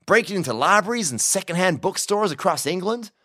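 A man acts out a smug cowboy outlaw from an adventure audiobook. He teases and mocks in a drawl, with lively swoops of pitch and a close, dry sound. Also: brisk, no echo, full character voice. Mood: mocking. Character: smirking American cowboy outlaw in a cell, mocking, unhurried. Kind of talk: audiobook character acting.

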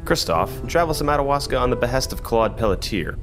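A man narrating as game master, in a steady descriptive manner, with music behind. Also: medium pitch, fast talker. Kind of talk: scripted scene narration.

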